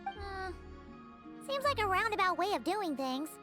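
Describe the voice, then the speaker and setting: A tiny, excitable companion girl from a video game, chirps away in an extremely high voice. Her pitch bounces up and down with childlike eagerness. Music plays clearly behind her. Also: unhurried, exaggerated voice. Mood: upbeat, helpful. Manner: refers to self in third person. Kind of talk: animated video game voice acting.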